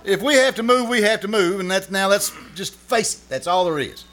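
A man's voice: high-pitched, animated, brisk, a bit bright. An actor playing an exasperated, wry husband feuding with his wife in an old-time radio comedy, performed live. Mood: resigned urgency. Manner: wry, flustered, protesting. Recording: live stage performance with clear noise.

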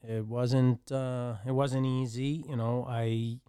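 A middle-aged man from the Dominican Republic, a podcast guest recounting his childhood in a halting way, often restarting his sentences. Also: mid-range voice, level tone, warm tone, clean tone, no room sound, studio quality. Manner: halting, with frequent restarts and fillers. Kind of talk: personal storytelling.

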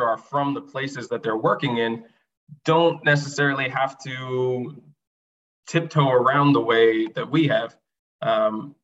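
A man's voice: mid-range voice, a bit bright, far from the mic. Male podcast host, rephrases as he asks, set back from the mic, muffled sound. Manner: searching, rephrases mid-question. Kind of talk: interview questions.

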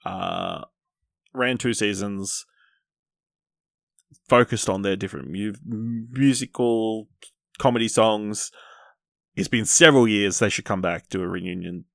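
A man's voice: on the low side, vocal fry, fairly bright tone, no room sound, clean studio sound. A man hosting a podcast in casual, informal chat, steering the discussion along. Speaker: podcast host.

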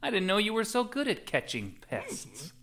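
Sci-fi audio drama hero: exasperated young hothead, sarcastic, forceful, swinging delivery. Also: male voice, sits high, wildly swooping pitch, moderate pace, heavily acted. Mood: triumphant. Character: exasperated, hot-headed young male space adventurer, sarcastic.

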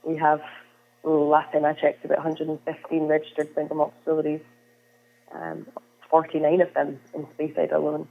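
A woman's voice: low voice, completely flat delivery, moderate pace, creaky. A young Scottish woman from the Hebrides, a whisky brand ambassador, chatting as an interview guest with frequent "um"s. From Scotland, Isle of Lewis. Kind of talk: conversational interview answers. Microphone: phone call. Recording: tinny phone line.

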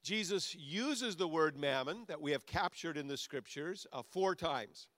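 A man's voice: fairly high pitch, expressive, measured pace, a bit bright, no echo. A male pastor preaching a sermon in a conversational way that builds to emphatic peaks.

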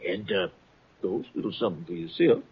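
A pompous older colonel in an old radio drama. His husky voice swoops up and down as he blusters with courtly self-importance. The recording is dull and aged. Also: medium pitch, wildly swooping pitch, fast, full character voice. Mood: sly, hearty. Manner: blustering, throat-clearing, courtly. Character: pompous older Southern colonel, blustering racetrack con man. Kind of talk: 1940s radio acting.

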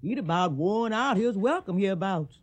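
An actress playing a devoted, plain-spoken older household servant with a husky voice and a Southern US accent, in a radio drama. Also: female voice, medium pitch, animated, moderate pace. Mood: disapproving, firm. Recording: slightly dull old radio recording.

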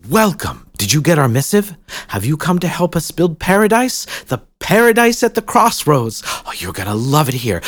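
A man voicing a gushing, welcoming woman who leads a hidden paradise, with a lively, sing-song delivery. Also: deep voice, no room sound, voice pushed up. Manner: effusive, gushing.

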